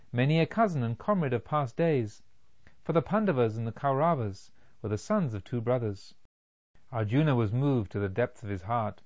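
A man narrating a literary audiobook in a measured, formal, expository way. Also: fairly high pitch, muffled recording.

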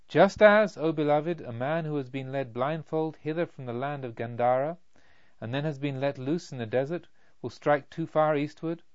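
A man's voice: on the high side, no room sound, dull audio, lightly acted. A man voicing an aged, benevolent, self-satisfied Brahmin teacher with a lofty, didactic manner, in a storybook reading.

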